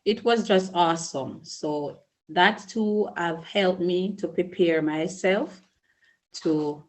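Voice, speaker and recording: A middle-aged Jamaican woman, a school principal, answering interview questions in a measured, earnest way with small restarts. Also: on the low side, poor audio. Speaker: early childhood school principal.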